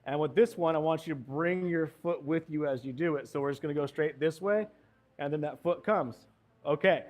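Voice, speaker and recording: A man's voice: a little high, a little fast, a little mellow. A man coaching a golf lesson, giving short cues and encouragement as the student swings. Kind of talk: hands-on golf lesson instruction.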